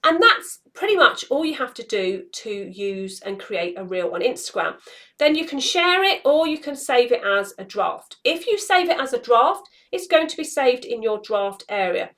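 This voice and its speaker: A woman's voice: on the high side, big pitch swings, moderate pace, fairly bright tone. A woman around her forties hosting a casual instructional walkthrough, explaining things step by step. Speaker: social media tutorial host. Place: small indoor room.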